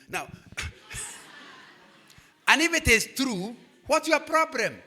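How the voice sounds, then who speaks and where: Animated older Kenyan man preaching. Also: fairly high pitch. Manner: animated preaching, asks "Am I communicating?". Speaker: guest speaker.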